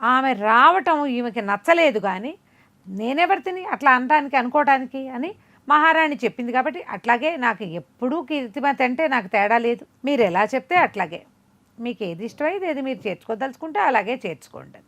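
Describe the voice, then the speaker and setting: Female storyteller, higher-pitched voice, chatty and animated folk-tale delivery. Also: fairly quick. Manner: conversational, explanatory asides, acts out dialogue.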